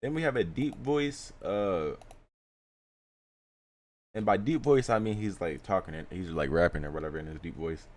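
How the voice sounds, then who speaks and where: A man's voice: deep voice, animated, normal speed, pauses often, a little mellow. A young man casually explaining how he mixes vocals in a screen-recorded tutorial, laid-back and full of fillers. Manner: laid-back, filler-heavy, keeps saying "you know what I'm saying". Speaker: music producer teaching vocal mixing.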